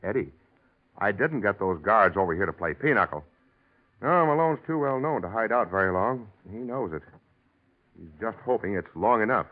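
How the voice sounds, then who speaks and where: Through a tinny old radio recording comes an actor as a grave middle-aged male district attorney. His voice moves widely in pitch, with the weight of a boss under threat in a vintage radio drama. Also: expressive, moderate pace, lightly acted. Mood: grim, resigned. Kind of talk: 1940s radio acting.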